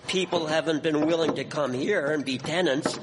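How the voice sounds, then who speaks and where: A man's voice: on the high side, normal speed, natural voice. A man playing a genteel, opinionated 1870s townsman in a period radio drama, with formal, declamatory phrasing. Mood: cautious, critical. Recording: echoey hall, clear background noise.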